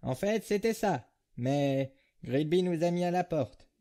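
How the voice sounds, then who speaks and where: Goofy proud cartoon skeleton, high voice, swoops all over, super theatrical. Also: male voice, animated, measured pace, mellow. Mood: enthusiastic, proud. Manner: theatrical, declamatory. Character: bombastic, childishly enthusiastic male skeleton, friendship-obsessed. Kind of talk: comic dub voice acting.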